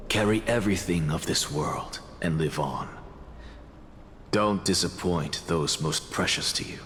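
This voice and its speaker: An actor playing a proud, brilliant scholar in a video game, lecturing in a grandiose, self-assured manner. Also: male voice, sits low, fairly bright tone, a little hiss or hum. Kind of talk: dramatic video game voice acting. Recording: long reverb, music behind. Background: music.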